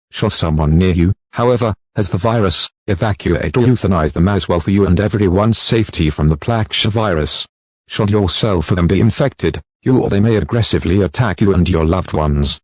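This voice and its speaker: A man with a low voice reads a scripted emergency announcement. His delivery is formal, measured and impersonal, like an official alert. The sound is dry, with almost no room in it. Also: darkish tone, no room sound.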